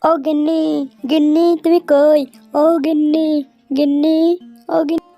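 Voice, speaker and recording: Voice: sits high, flat delivery, measured pace, mellow, faint music behind. A performer voicing a hungry, scheming village husband animal in a cartoon, exclaiming and muttering to himself. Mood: excited, conspiring. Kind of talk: Bengali cartoon voice acting.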